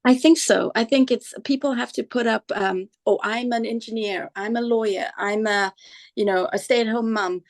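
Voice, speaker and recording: Gravelly-voiced woman podcast guest. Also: a little high, normal speed. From Tanzania. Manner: thoughtful, relaxed, with fillers. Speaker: guest, entertainment lawyer. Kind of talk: conversational interview.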